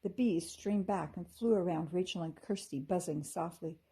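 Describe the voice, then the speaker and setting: A woman with a husky voice reading a children's story aloud expressively, like a teacher to her class. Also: fairly low pitch, moderate pace, dark tone.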